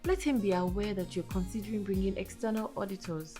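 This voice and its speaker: An actress playing a caring sister who chats easily and panics quickly. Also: female voice, medium pitch, dark tone, faint music behind, natural voice. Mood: chatty. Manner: chatty, quick to cry out for help.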